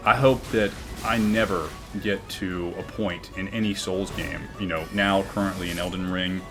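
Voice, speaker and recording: A man with a booming voice talking earnestly about his gaming channel, often reflective, with frequent fillers and restarts. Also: steady tone, normal speed, over music. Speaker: gaming YouTuber. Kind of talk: game commentary and channel talk.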